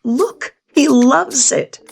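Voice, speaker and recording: A woman's voice: high voice, very slow, vocal fry, faint music behind, voice pushed up, exaggerated voice. A woman voicing a helpful, inquisitive young boy in a storybook, expressively suggesting ideas as a science partner. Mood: excited.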